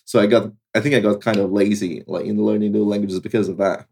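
A young man from Brazil speaking English with a Brazilian Portuguese accent. His voice sits a little low, and he talks in an easygoing, rambling way, full of "like" and "you know". He sounds like a podcast guest chatting casually over a call. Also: fast talker, vocal fry. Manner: relaxed, leans on "like" and "you know" fillers. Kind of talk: casual chat. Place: travelling in Morocco, talking over a call.

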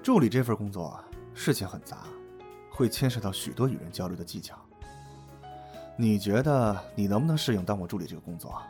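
A man's voice: fairly low pitch, lively intonation, fairly quick, a little mellow, faint music behind, lightly acted. An actor playing a cool, exacting male office supervisor in an audio drama, curt and authoritative. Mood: cool, probing. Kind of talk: Chinese audio drama acting.

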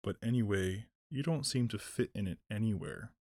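A man voicing an ancient, slow-spoken male tree giant with a deep, humming voice. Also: darkish tone, no room sound, studio quality, natural voice. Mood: slow ponderous. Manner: slow, ponderous, murmuring.

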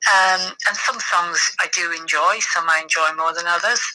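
A woman's voice: fairly low pitch, normal speed, pushed and bright, no echo, rough recording. A middle-aged woman from the west of Ireland with an Irish accent, reflecting on personal experience as a podcast guest in hesitant, thoughtful phrases.

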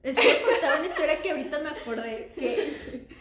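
Giggly high-voiced Mexican woman. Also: sing-song, a little fast, a bit bright, reverberant. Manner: giggly, slangy. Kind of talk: casual conversation, storytelling.

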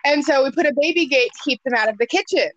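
A woman's voice: high voice, fast talker, a bit bright, a little hiss or hum. A woman co-hosting in casual chat, blunt and joking with salty language. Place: remote phone call. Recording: dull, lo-fi phone call audio.

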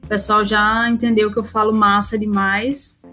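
Female interview guest, Northeastern Brazilian accent, informal and slangy, fairly even pitch, light music behind. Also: normal speed. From Recife, northeastern Brazil. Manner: informal, slangy, frequent tag questions. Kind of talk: casual chat.

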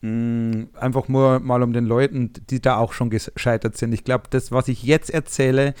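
A man's voice: mid-range voice, level tone, moderate pace, darkish tone, clean tone, dry recording, studio quality. A man with a German accent chatting as a podcast co-host in a colloquial, blunt, rambling way. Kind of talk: casual podcast chat.

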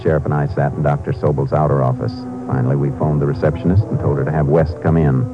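An actor playing a calm, methodical Texas Ranger in a 1950s radio crime drama, speaking in terse, matter-of-fact lines. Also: male voice, very deep voice, quick pace, dull audio. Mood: clipped, matter-of-fact. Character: calm, methodical male Texas Ranger narrating his case. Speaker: lead actor and narrator. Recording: band-limited vintage radio, music behind.

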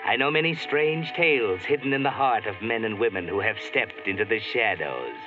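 An actor playing a mysterious male narrator who walks by night, with slow, ominous storytelling in 1940s radio narration. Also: fairly low pitch, animated, close to an everyday voice. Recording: tinny old radio broadcast with music.

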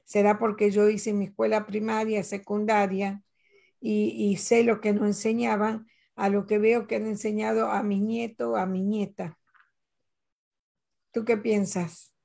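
A woman's voice: mid-range voice, flat delivery, measured pace, gravelly, dark tone. An older Latin American woman talking in a casual conversation, earnest and reflective, telling personal stories. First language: Spanish.

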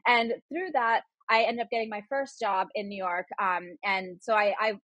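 A woman in her forties, a journalist, chatting quickly as a podcast guest, full of "like" and "you know". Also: medium pitch, steady tone, fairly bright tone, no echo, rough recording. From United States. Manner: quick, chatty storytelling with frequent "like". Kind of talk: casual interview conversation.

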